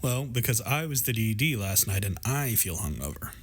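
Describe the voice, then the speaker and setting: Guy doing a warm teasing best friend for a roleplay audio, kinda low voice, wry but really reassuring. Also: animated, moderate pace, breathy edge. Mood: playful, teasing. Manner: playful, affectionate, wry, reassuring. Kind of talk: intimate scripted roleplay audio drama.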